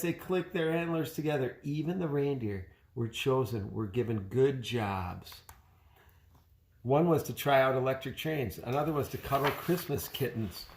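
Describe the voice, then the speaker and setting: A man reading a children's story aloud expressively, like a father sharing it with his child. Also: medium pitch. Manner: expressive, pausing to chat with the child. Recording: roomy, echoey home recording.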